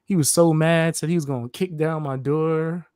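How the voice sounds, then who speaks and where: A man guest on a livestream, talking in a calm, laid-back, joking way. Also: a little high, normal speed, smooth, no echo, clean studio sound. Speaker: guest streamer. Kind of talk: casual livestream chat.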